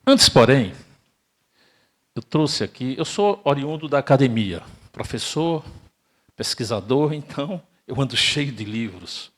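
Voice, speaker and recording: An older man from Brazil giving an emphatic spiritist lecture, asking rhetorical questions and swinging between loud and hushed delivery. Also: medium pitch, animated, unhurried. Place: spiritist center hall, live audience.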